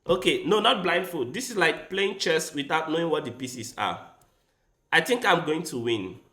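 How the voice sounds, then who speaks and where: A man with Igbo as his first language talks through a chess game on stream, taking his time between thoughts. His voice is bright and fairly high, and it swings up and down a lot. The room around him rings with echo. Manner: thinks aloud while playing, with quick bursts of excitement. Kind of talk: casual live-stream commentary.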